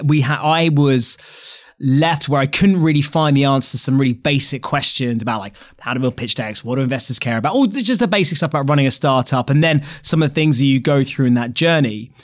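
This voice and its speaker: A man's voice: medium pitch, brisk. A man hosting a startup podcast, teasing his guest in a chatty way, laughing often and restarting his sentences. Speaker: podcast host, startup founder. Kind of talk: casual interview chat.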